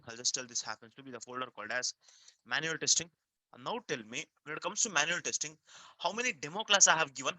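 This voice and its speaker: A man's voice: on the high side, bright, forceful tone, no room sound, rough recording. A man with an Indian accent teaching a live online class, repeating himself and prompting students with frequent questions in an emphatic, step-by-step way. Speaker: software testing instructor.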